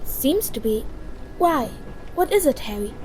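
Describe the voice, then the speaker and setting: A woman playing a bright, bossy, sensible teenage witch in an audio drama. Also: high voice, sing-song, a little slow, a little hiss or hum, low-quality recording. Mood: brisk, concerned.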